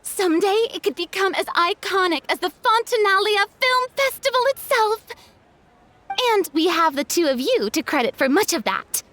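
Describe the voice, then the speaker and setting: A woman's voice: very high-pitched, fairly bright tone, heavily acted. An actress playing a gracious, theatrical young female celebrity in a video game, speaking in a grandiose, eloquent way. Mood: sincere praise.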